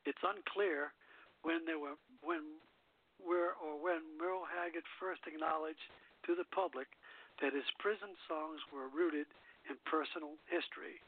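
Male radio host, slightly high and husky, halting read of a music history script, thin phone-line sound. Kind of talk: reading a music biography aloud.

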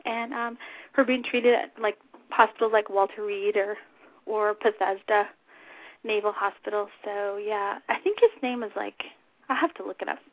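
Female talk show host, informal and unscripted, slightly husky and scratchy, dry phone-quality sound. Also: mid-range voice, moderate pace, dry recording. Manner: chatty, informal. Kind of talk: unscripted casual radio chat. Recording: tinny phone-line internet radio audio.

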